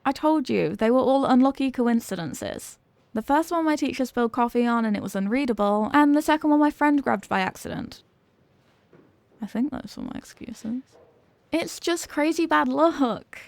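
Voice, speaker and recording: A woman plays a popular cheerleader girl in an ASMR roleplay, talking one-on-one to her tutor. Her voice is fairly high with a creaky edge, recorded close and dry. She is evasive and easily flustered, hesitating and getting defensive. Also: expressive, moderate pace, dry recording. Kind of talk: ASMR roleplay, acted one-sided conversation.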